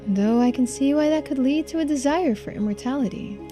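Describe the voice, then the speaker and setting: A woman voicing a poised, unflappable female investigator in a video game, polite and gently needling in measured, formal phrases. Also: sits high, soft background music, natural voice. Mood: teasing. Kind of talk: game character voiced in a playthrough.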